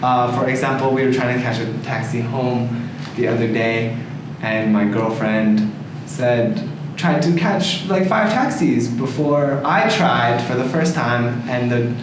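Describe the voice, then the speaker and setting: A Canadian man speaking in a measured, deliberate way as he shares his views in a group discussion. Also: medium pitch. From Toronto, Canada. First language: English. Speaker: discussion participant. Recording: echoey noisy room, distant mic.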